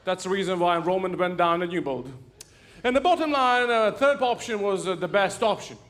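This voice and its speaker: A man preaches a lighthearted sermon, with a voice that sits notably high for a man. He spins self-mocking stories and chuckles at his own punchlines, in a relaxed storyteller's way. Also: brisk. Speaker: pastor. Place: church sanctuary.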